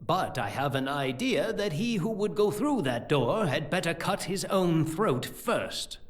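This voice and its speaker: An actor playing a scheming, sardonic court priest in an audio drama, measured, theatrical and condescending. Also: male voice, on the high side, big pitch swings. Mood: cool, calculating.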